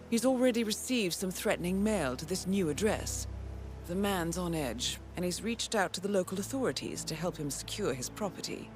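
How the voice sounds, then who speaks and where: An actress playing a coolly professional female handler in a video game, briefing an assassin in a measured, formal, dryly understated way. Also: on the low side, a little mellow. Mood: composed, discreet. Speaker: mission handler. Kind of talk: scripted video game mission briefing narration.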